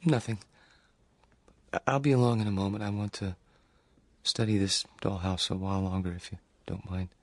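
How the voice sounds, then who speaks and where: An actor playing a meek, awkward grown son in a radio drama, stammering politely, a lonely and secretive dreamer. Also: male voice, low voice, steady tone, normal speed, long pauses, no echo. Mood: timid, nervous. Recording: music bed under the dialogue.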